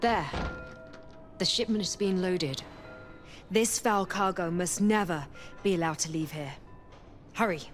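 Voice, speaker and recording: Over prominent game music, an actress plays a proud warrior queen with an Indian accent. Her voice sits fairly low, and she speaks with measured, formal authority, every bit the leader of rebels. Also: close to an everyday voice. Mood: proud, commanding. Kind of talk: dramatic video game acting.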